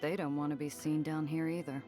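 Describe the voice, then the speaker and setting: A woman's voice: sits low, flat delivery, fairly quick, dark tone, faint music behind, lightly acted. An actress playing a tough, world-weary smuggler woman in a 1930s noir game, wry and clipped. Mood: stern, wry.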